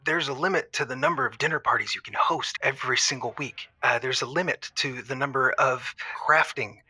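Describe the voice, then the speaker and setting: A man playing an exhausted, overwhelmed boyfriend phoning a radio advice show, rambling and halting as he complains in an improvised comedy style. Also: medium pitch, bright, forceful tone, husky, no room sound. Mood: weary, exasperated. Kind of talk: improvised comedic phone-in monologue.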